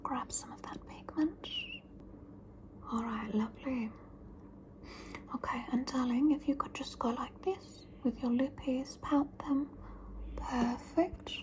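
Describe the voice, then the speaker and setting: A woman doing a whispered ASMR roleplay as a fussy, pampering mother who calls her child darling and scolds her gently. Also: sits high. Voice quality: soft whisper. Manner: doting yet scolding, lingering and drawn-out.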